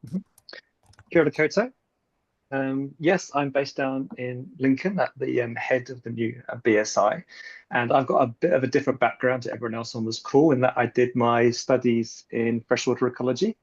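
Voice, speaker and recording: A man with a New Zealand accent introduces himself in a relaxed way, with loose, rambling phrasing. His voice is mid-pitched. The sound is muffled and lo-fi, like a video call. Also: moderate pace. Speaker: guest speaker, statistical scientist. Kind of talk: webinar self-introduction. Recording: dull lo-fi call audio.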